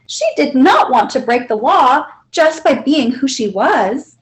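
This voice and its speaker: A woman reads a picture book to children. Her voice is high and very varied in pitch, and she tells the story deliberately and expressively. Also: animated, low-quality recording. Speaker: librarian reading aloud.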